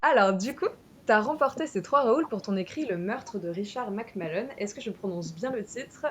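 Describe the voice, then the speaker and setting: A woman's voice: mid-range voice, expressive, moderate pace, a bit bright, a little hiss or hum. A woman hosting an interview, asking questions in an informal, friendly and encouraging way. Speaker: interviewer and channel host. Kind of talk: casual interview chat.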